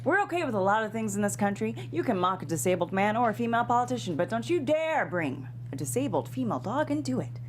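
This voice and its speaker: Woman doing a pompous, animated TV news anchor. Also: a little high, quick pace, hardly any pauses, slight background noise. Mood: indignant. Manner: formal, confrontational. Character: polished, pompous TV news anchor in forties, indignant. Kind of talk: mock news interview, comedy table read.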